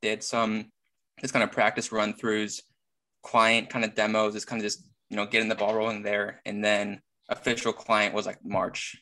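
Low, flat-voiced young man from Iceland. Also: normal speed. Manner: relaxed, hesitant, many fillers. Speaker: fitness coach, guest. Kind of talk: casual interview chat.

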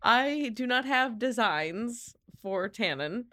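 A woman's voice: fairly high pitch, lively intonation, slow pace, sharp tone, pitched above the performer's own voice, heavily acted. A woman voicing a formal, polite young woman who answers with stiff courtesy.

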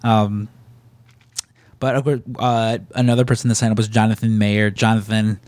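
A man's voice: fairly low pitch, flat delivery, moderate pace, darkish tone, no room sound. A man from the Chicago area with a Chicago accent, co-hosting a podcast in casual, rambling, joking chat. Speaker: podcast co-host.

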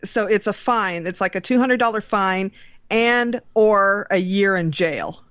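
A woman's voice: medium pitch, unhurried, a bit bright, dry recording. A woman hosting a podcast in casual chat, with an easygoing, agreeable way of talking. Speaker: podcast host. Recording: dull, dry lo-fi mic.

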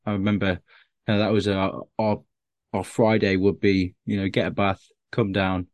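A man in his thirties from Liverpool, a stand-up comedian with a softened Scouse accent, chatting in an interview in a self-deprecating, rambling way with lots of restarts. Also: sits low, little pitch movement, normal speed, no room sound, muffled recording. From Liverpool, England. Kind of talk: casual interview chat.

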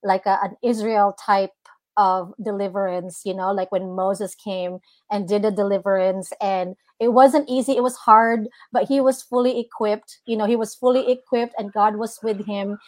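A woman's voice: mid-range voice, steady tone, moderate pace, darkish tone, no room sound. A woman, sharing an earnest personal testimony as a guest minister, full of "like" and "you know". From Philippines.

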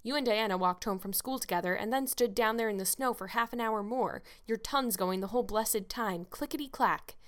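A woman voicing a stern, sharp-tongued older spinster who scolds a child. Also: fairly high pitch, a little fast, hardly any pauses, close to an everyday voice. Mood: curt. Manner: curt, sarcastic, scolding.

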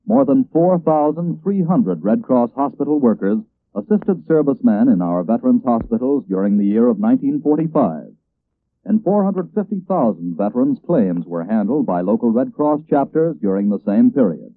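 A man's voice: mid-range voice, dry recording. A male announcer reading a scripted 1940s radio appeal in a formal, emphatic manner. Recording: narrow-band vintage radio broadcast.

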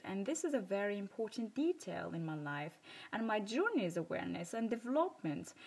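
Woman podcast host, Moroccan accent, mid-pitched and very animated; earnest, emphatic personal monologue. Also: husky, low-quality recording. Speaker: self-improvement podcast host.

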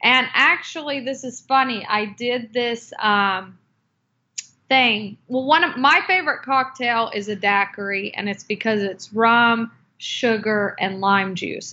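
A woman talks slowly and tentatively, restarting her sentences and filling the gaps with ums. Her voice sits a little high. The audio is muffled and lo-fi. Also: a bit bright. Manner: slow, hesitant, with many fillers and restarts. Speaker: guest, cocktail book author. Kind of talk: unscripted interview answers. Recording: dull lo-fi audio.

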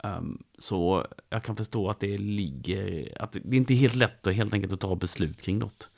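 A man's voice: fairly low pitch, normal speed, gravelly. A man hosting a fan podcast, asking questions and chatting casually with plenty of fillers. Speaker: podcast host. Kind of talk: casual chat and interview.

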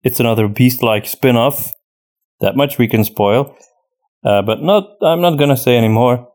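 Guy doing casual podcast chat, mid pitched voice, answering listener questions. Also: normal speed, darkish tone. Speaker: podcast host and audio drama writer.

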